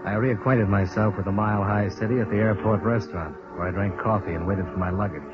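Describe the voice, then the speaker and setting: An actor with an American accent playing a tough, world-weary insurance investigator with a sympathetic side, in clipped 1950s radio detective style. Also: male voice, lowish voice, steady tone, quick pace, close to an everyday voice. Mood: matter-of-fact, wry. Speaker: lead actor and narrator. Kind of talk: 1950s radio detective drama acting, first-person narration. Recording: dull vintage radio recording with music.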